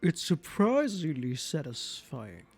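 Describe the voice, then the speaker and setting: Woman voicing a haughty, husky female cat. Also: low-pitched, very animated, a little mellow, exaggerated voice. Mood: haughty, curious. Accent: German. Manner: formal, pompous, slow and theatrical. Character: haughty, entitled, easily offended female cat. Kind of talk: acted reading of a visual novel. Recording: music behind the voice.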